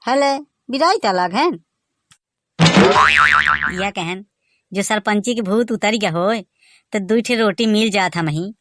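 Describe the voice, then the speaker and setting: A man with a Bagheli accent voicing a boastful village son-in-law in a comic cartoon, teasing in lively banter. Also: sits high, slow pace, pauses often, background noise. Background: music.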